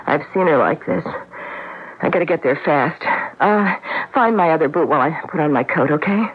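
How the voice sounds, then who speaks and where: An actress playing a frantic, excitable, caring friend in a radio drama, flustered, on a muffled old recording. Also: female voice, sits low, normal speed, breathy edge. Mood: hurried, flustered. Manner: flustered, self-scolding.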